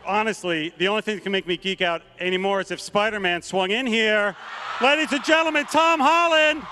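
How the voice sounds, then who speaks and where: A man on a large convention stage with a handheld microphone, introducing actors one by one and shouting their names over the crowd. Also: sits high, a little fast, slight background noise. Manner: announces names with rising, shouted emphasis. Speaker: studio head presenting a convention panel.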